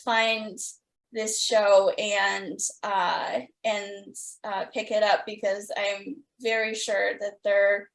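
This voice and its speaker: A woman hosting a podcast, talking as an enthusiastic fan in a gushing, halting way. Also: mid-range voice, flat delivery, gravelly, poor audio. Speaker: podcast host interviewing guests.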